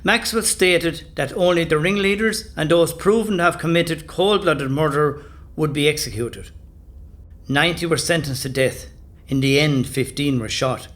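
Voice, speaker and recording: An actor as the earnest narrator of a historical audio drama, reading from a script. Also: male voice, on the high side, normal speed, fairly bright tone, close to an everyday voice. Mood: measured.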